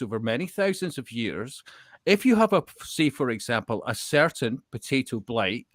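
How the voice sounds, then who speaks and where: A man talking casually and opinionatedly in an online discussion, often pausing to check that listeners follow his point. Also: medium pitch, fairly bright tone, no room sound, clean studio sound. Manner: hesitant phrasing with rhetorical check-in questions. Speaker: panellist in an online discussion hangout. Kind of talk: casual, rambling opinionated talk.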